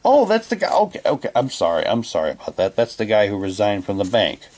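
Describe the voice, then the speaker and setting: A man's voice: fairly low pitch, wildly swooping pitch, fast talker, a little mellow. A man casually reading printed material aloud and commenting on it, with offhand muttered asides. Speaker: unboxing presenter.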